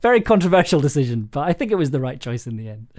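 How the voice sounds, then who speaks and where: A man hosting a casual livestream chat, talking in a halting, self-interrupting way and prone to chuckling mid-sentence. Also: a little high. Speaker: streaming host and audio drama maker.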